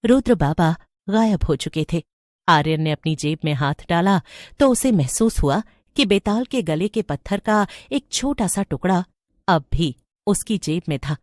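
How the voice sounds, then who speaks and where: A woman's voice: lowish voice, expressive, unhurried, a little mellow, dry recording, studio quality. A woman reading scripted narration for a horror story.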